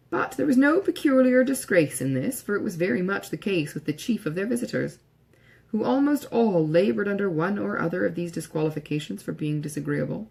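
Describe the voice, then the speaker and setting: A woman reading literary narration aloud in a measured, formal storytelling manner, with a husky, slightly creaky voice. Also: on the low side, a little mellow. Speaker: audiobook reader.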